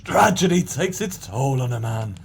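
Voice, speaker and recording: A man acting in an audio play as a crooked, cowardly swindler, nervous and halting with theatrical piety. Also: medium pitch, big pitch swings, creaky, slight background noise. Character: jittery crooked swindler, male, falsely pious and cowardly.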